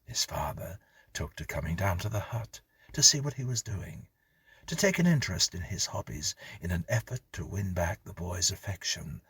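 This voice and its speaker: Very husky, low-pitched male horror narrator. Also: fairly bright tone, dry recording. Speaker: narrator, story reader. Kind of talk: scripted horror story narration.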